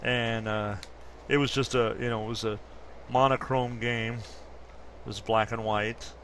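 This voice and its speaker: A man's voice: medium pitch, flat delivery, unhurried, fairly bright tone, slight background noise. A man in his fifties casually chatting through gameplay commentary, rambling and correcting himself as he goes. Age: 50s. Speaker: gaming YouTuber.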